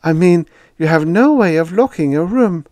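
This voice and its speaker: A man voicing a fussy, nosy older landlady who speaks in a high, prim, prying voice. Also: lively intonation, moderate pace, darkish tone, no room sound, lightly acted.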